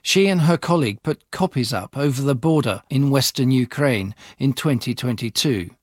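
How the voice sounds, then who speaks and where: A man reads a news report as voice-over, clear and measured, at a middling pitch. The recording is close and dry, with no room sound. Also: animated, dry recording. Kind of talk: scripted news narration.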